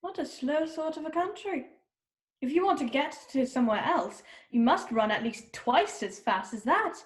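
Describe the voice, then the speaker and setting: A woman's voice: high-pitched, expressive, normal speed, reverberant. A woman playing an imperious, scolding queen in a children's readers' theater performance. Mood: haughty. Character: bossy, haughty queen ordering a young girl about.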